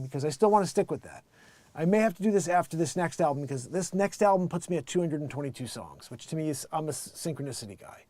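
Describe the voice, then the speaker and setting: A middle-aged American man hosting a casual livestream, rambling emphatically and excitedly in an unscripted monologue, often acting out bits of quoted conversation. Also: medium pitch, no echo. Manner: animated, rambling, emphatic, quotes himself and others. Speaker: live music show host and musician.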